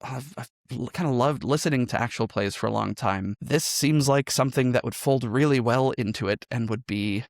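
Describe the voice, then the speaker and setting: A man's voice: medium pitch, moderate pace, few pauses, no echo, studio quality. A man telling his story as a podcast guest in a conversational, reflective way, often checking in with a "right?" as he explains. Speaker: podcast guest, improv teacher and game master.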